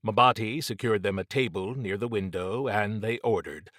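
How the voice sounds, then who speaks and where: A man's voice: on the low side, moderate pace, fairly bright tone, no echo, studio quality. A man reading scripted story narration as an audiobook narrator.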